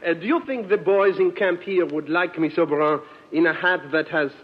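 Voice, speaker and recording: A man's voice: fairly high pitch, normal speed, natural voice. A man playing a genteel, fussy fashion designer with hesitant, mannered courtesy in 1940s radio comedy. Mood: genteel, eager. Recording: dull, faintly noisy old radio recording.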